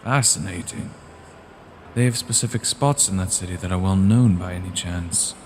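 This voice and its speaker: A man playing a cold, scheming vampire clan leader in a fantasy audio drama, speaking in a deliberate, formal and grave way. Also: deep voice, slight background noise, lightly acted. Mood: measured, curious.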